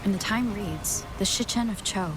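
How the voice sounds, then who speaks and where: An actress playing a thoughtful young adventuring heroine in a video game, reasoning carefully in monologue. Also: female voice, mid-range voice, normal speed, lightly acted, low-quality recording. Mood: pensive, focused. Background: music.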